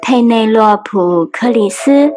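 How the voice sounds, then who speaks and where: A woman reading a children's picture book aloud in an expressive storytelling style. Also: fairly high pitch, measured pace. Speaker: storybook reader and translator. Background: music.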